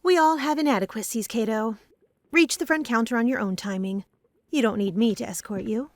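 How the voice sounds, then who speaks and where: Higher-voiced wise seer, played by a woman. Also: a little fast, natural voice. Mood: gently instructive. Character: wise, patient female seer and mentor. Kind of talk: medieval fantasy audio drama acting.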